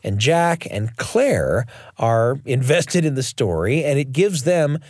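Expressive man hosting, with very animated pitch. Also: slow, dry recording, clean studio sound. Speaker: radio show host and narrator. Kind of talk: scripted narration and studio conversation.

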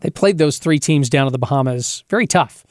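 A man hosts a sports podcast in a chatty, friendly way and trips over his words as he reaches for a question. His voice sits fairly high for a man, and the recording is close and dry. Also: brisk, dry recording. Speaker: podcast host. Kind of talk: sports radio interview.

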